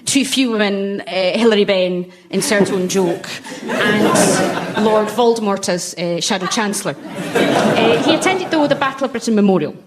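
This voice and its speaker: A woman's voice: medium pitch, normal speed, a loud crowd. A woman telling wry, hesitant comic anecdotes as a panellist on a comedy show, in front of a laughing audience. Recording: noisy live recording with audience laughter. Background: studio audience laughter.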